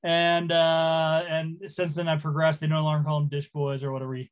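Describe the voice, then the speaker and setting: A man's voice: on the high side, completely flat delivery, moderate pace, smooth. A middle-aged man from Hawaii, a chef, talking casually and self-deprecatingly as a guest on a group call, with many ums. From Hawaii, USA.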